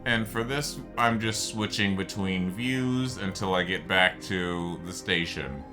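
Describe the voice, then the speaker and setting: A man's voice: sits low, slow pace, over music. A man giving unscripted game commentary, explaining things in a rambling way and circling back to restate his points. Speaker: gameplay video host.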